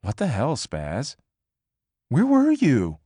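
Audiobook: man doing a high, swooping, pushy young woman, close and dry. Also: huge pitch swings, mellow, husky, dry recording, exaggerated voice. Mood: agitated. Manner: quick, emphatic, swearing freely. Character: brash, excitable young woman, pushy and gossipy. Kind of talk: audiobook character voice.

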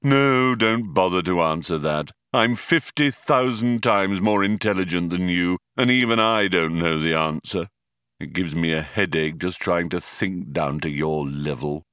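A man's voice: low voice, fairly bright tone, smooth, dry recording. Man putting on a depressed robot, superior, self-pitying, weary drawl, storybook character, very dry sound. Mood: gloomy, weary. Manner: slow, weary, condescending.

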